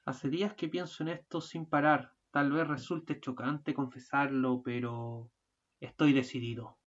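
A woman acting an exasperated, fussy husband in an amateur radio play, bickering and at times shouting. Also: extremely low pitch, steady tone, measured pace. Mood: earnest. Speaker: student radio drama actor. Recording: muffled lo-fi recording.

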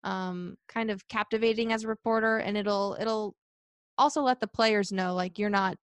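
Young woman, high-pitched voice, energetic and chatty, quick laughs, dry close sound. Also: clean tone, no room sound. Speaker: sports reporter. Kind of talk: interview answers, casual.

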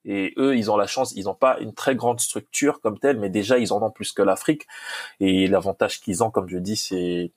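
A low-pitched man from Congo, a football coach, talks in an earnest, halting way, circling back and repeating himself. His first language is Lingala. The sound is dry and close, with no room around it. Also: steady tone, no echo. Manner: earnest, repeats himself, many restarts and fillers. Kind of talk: casual podcast interview.

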